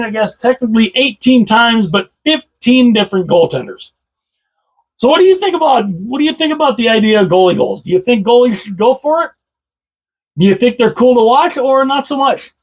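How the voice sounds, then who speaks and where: A man with a slightly hoarse voice, chatting casually about hockey as a channel host, rambling and repeating himself with enthusiasm. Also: extremely high pitch. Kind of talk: casual solo commentary to camera.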